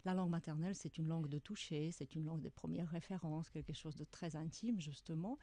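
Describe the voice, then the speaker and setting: A middle-aged woman with a Czech accent, an author answering radio interview questions in a reflective way, often pausing to search for words. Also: deep voice, dark tone, no echo. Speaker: author guest.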